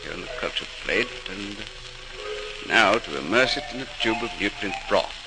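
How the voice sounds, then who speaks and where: A man's voice: fairly low pitch, animated, moderate pace, music in the background. A man playing an earnest, dedicated middle-aged scientist in 1940s radio drama style, speaking like a lecturer thinking aloud, on a crackling old recording. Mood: absorbed, musing. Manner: lecturing aloud, muttering to himself while working.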